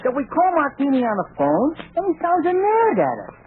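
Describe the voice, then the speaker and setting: A man's voice: extremely high pitch, huge pitch swings, fairly quick, full character voice. An actor playing a jittery, menacing gangster henchman in an old radio comedy, on a tinny vintage recording. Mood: jittery, excited. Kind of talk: 1940s radio comedy acting. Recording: noisy narrow-band 1940s radio recording.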